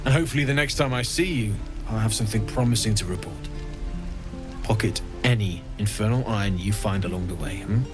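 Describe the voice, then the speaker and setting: A man's voice: lowish voice, brisk, over music, natural voice. A man playing an earnest, encouraging smith and tinkerer in a video game. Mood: reassuring, hopeful. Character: earnest, helpful male infernal smith and tinkerer. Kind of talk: video game character acting.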